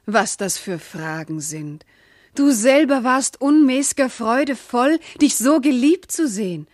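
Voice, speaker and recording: An actress playing a proud, loving noble wife, wounded and indignant, speaking formal, impassioned verse in a classical radio drama. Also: female voice, a little high, animated, slow pace, no room sound, clean studio sound. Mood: passionate, reproachful.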